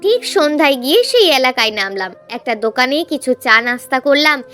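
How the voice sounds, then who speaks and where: A woman's voice: high-pitched, big pitch swings, normal speed, sharp tone, faint music behind. A woman reading a scripted, emotional first-person story as a narrator.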